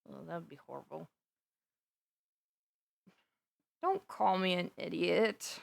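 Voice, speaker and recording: A woman's voice: fairly low pitch, animated, moderate pace, vocal fry, dry recording. Dry, close, dramatic lovestruck supervillainess voiced by a woman. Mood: embarrassed, indignant. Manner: chatty, dramatic, playfully indignant, with sighs and gasps. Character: flustered, lovestruck supervillainess confiding in her best friend. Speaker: voice actor. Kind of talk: roleplay audio drama, intimate one-sided conversation.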